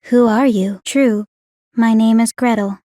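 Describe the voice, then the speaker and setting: A woman's voice: fairly high pitch, steady tone, a little slow, mellow, dry recording, clean studio sound, natural voice. A woman playing an aloof, bookish young warrior maiden in a video game, speaking in a composed, formal, precise and detached way. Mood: cool, curious. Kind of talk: English video game voice acting.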